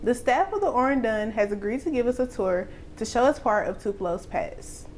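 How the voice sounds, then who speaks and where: A woman's voice: a little high, expressive, normal speed, a little hiss or hum. A woman presenting a school program, reading scripted narration.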